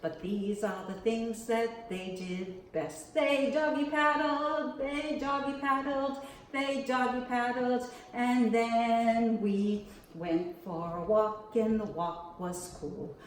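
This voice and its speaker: A woman hosting a children's storytime, reading aloud and leading counting rhymes in a slow, expressive, sing-song way. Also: a little high. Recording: echoey room with faint background hiss.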